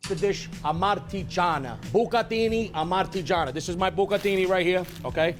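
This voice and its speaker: A man's voice: high voice, normal speed. A man with an American accent presenting a cooking video, brash and direct to the camera, tossing in Italian phrases. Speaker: cook in an online video. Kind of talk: cooking demonstration. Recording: video clip with loud music. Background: music.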